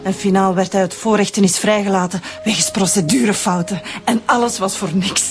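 A defiant Flemish young woman, bitter and unbending, as an actress plays her. Her voice sits at an ordinary pitch. Music plays clearly beneath this radio play. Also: steady tone, brisk, natural voice. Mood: bitter, grieving. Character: young woman avenging her murdered father. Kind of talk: radio drama acting.

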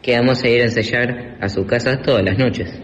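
A noisy, reverberant space frames a teenage boy playing a cocky young musician who jokes with his best friend. He has a Rioplatense accent and a middling male pitch, in amateur radio drama style. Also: fairly even intonation, moderate pace, highs cut off, lightly acted. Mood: joking. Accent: Rioplatense Argentine. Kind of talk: amateur school radio play acting. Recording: reverberant hall with clear ambient noise.

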